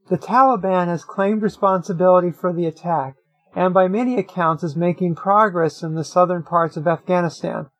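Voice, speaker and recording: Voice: medium pitch, dry recording. A narrator reading a written opinion article aloud in a scripted style. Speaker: reader of an online article.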